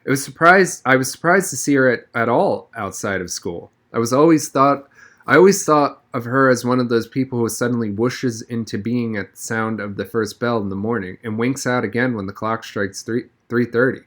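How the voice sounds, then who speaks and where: A man's voice: mid-range voice, moderate pace, a bit bright. A man narrating a children's novel aloud in the first person. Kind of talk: storybook read-aloud narration.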